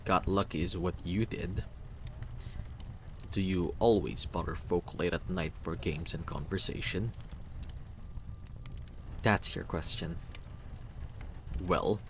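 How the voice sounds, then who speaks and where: A man's voice: deep voice, takes it slowly, pauses often, a little hiss or hum. A man voicing a brooding, muscular mercenary in a fantasy game, guarded, terse and dry.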